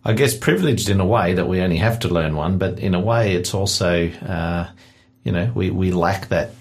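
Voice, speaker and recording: Deep-voiced male radio host. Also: normal speed. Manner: relaxed, encouraging with short affirmations. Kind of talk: casual radio interview.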